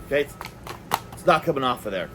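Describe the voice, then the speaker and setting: A man giving a casual hands-on product review, talking in a halting way and repeating words as he goes. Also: mid-range voice, sing-song, background noise.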